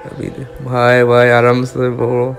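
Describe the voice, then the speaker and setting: A man's voice: medium pitch, monotone, measured pace, faint music behind. A young man in his twenties chatting casually to viewers while riding a motorbike on a village road, recorded outdoors with a helmet-mounted camera and road noise around him. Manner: chatty, informal, addresses viewers as guys. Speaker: motovlogger.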